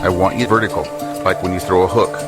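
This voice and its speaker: Male actor as tough drill sergeant, stern, clipped, lowish voice over loud music, audio drama. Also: steady tone, fast talker, lightly acted. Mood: instructing. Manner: commanding, clipped.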